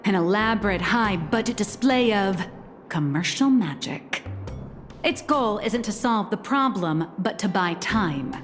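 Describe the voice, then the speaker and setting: A woman's voice: medium pitch, lively intonation, unhurried, natural voice. An actress playing a shrewd, playful, bossy female magician in a game, theatrical and knowing in her delivery. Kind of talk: game voice acting. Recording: reverb, faint music behind.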